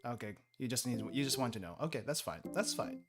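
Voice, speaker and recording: A man's voice: medium pitch, expressive, fast. A young man from the Philippines, chatting casually and thinking aloud while he studies on a stream, laughing often. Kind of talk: casual study stream chat. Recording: music playing behind.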